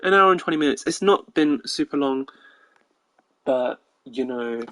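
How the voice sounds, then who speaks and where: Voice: medium pitch, lively intonation, moderate pace, fairly bright tone, dry recording. Casual game streamer with a tired voice. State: tired, strained voice. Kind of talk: casual livestream sign-off.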